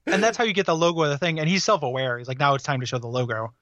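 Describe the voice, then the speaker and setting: A man with a slightly high voice chats loosely about games, rambling through fillers and breaking into laughs. His dry, close mic has a dull, lo-fi edge. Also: steady tone, very fast, fairly bright tone, dry recording. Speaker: podcast host. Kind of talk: casual game discussion.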